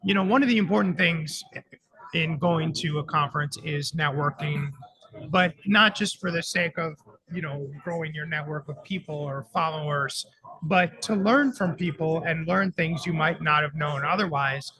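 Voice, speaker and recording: A man's voice: fairly high pitch, normal speed, sharp tone, rough recording. A man co-hosting a live radio show from a conference, talking in a chatty, upbeat way. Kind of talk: casual live radio chat. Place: conference floor broadcast.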